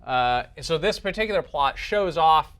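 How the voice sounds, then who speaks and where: A man's voice: fairly high pitch, animated, normal speed, fairly bright tone, clean tone. A man teaching a class in an informal, explanatory way, walking through technical material and checking in with listeners as he goes. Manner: explanatory, checks in with listeners using right and okay. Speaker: teacher, lecturer.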